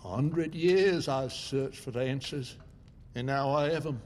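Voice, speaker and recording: An actor playing a very old, haunted survivor, telling his tale gravely and theatrically in an audio drama. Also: mid-range voice, unhurried, a little mellow, natural voice. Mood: grave, resolved. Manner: grave, theatrical, emphatic storytelling. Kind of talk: dramatic audio fiction narration. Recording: music behind the voice.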